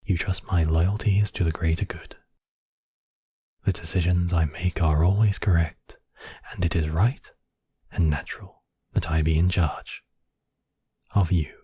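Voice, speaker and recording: A coldly possessive high mage villain, acted by a man for an ASMR fantasy roleplay. His voice is low and husky, with a slow, deliberate delivery. He speaks imperiously, like one used to being obeyed. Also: fairly even intonation, dark tone, dry recording, exaggerated voice. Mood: regretful, controlling. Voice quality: breathy, husky, often dropping to whispers. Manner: imperious, deliberate, addressing listener as apprentice. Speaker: voice actor.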